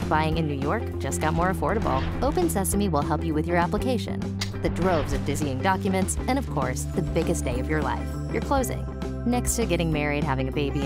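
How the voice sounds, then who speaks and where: A woman working as a voiceover artist, reading scripted narration for commercials and tutorials. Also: low voice.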